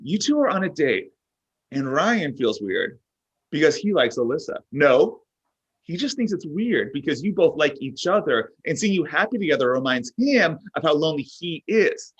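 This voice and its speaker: A man's voice: on the high side, sing-song, moderate pace, slightly rough. A man playing an oblivious, self-absorbed boss, needy and boastful, in a comedy table read, blurting and justifying himself. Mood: oblivious, blunt. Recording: dull lo-fi online call.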